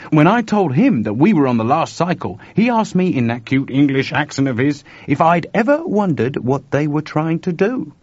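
A nervous young tech guy, as a husky male reader puts him on, voice pitched up and carried along by his own excitement, swinging high and low as he explains. it sounds dry and dull, like a lo-fi recording. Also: moderate pace, hardly any pauses, dry recording. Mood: urgent. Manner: excited, talkative, urgent. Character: urgent, nervous young male computer technician.

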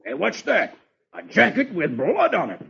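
A man's voice: medium pitch, huge pitch swings, moderate pace, creaky, a little mellow, heavy reverb. A man playing a blustering, easily spooked army sergeant in an old radio comic-strip reading. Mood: alarmed puzzlement. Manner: exclamatory, trembling, blustering. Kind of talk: old radio funny-papers dramatization. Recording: dull vintage radio recording.

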